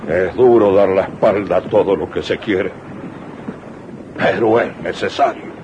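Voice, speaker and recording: A muffled, noisy old radio drama recording. a weary general going into exile, speaking very slowly in a solemn, rhetorical way. His voice is low and heavy with grief. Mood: sorrowful, resigned. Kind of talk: 1980s radio drama acting.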